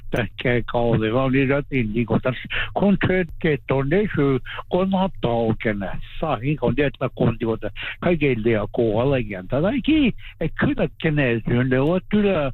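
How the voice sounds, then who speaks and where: A man answers interview questions in a halting way, starting over again and again. His voice comes through a thin, tinny phone line. The sound is dry and close, with no room around it. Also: mid-range voice, sing-song, no echo. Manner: halting, many restarts. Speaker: interview guest.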